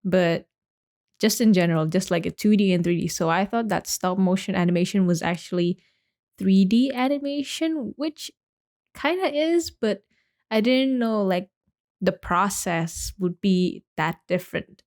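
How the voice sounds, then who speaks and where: A woman's voice: mid-range voice, lively intonation, unhurried, darkish tone. A young woman from Indonesia hosting her own podcast, talking casually and rambling with lots of "like" and restarts. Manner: chatty, rambling, with many likes and restarts. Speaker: podcast host. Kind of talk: casual solo podcast talk.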